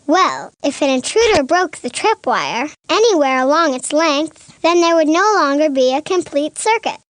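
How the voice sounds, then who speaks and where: Cartoon science expert, very high voice, deadpan, instructive, dry sound. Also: normal speed, no room sound. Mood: matter-of-fact. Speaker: expert witness. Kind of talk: animated comedy dialogue, explaining science.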